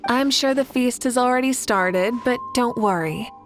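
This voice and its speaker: A woman playing a calm, caring female leader. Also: on the high side, moderate pace, over music, lightly acted. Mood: reassuring. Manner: calm, reassuring.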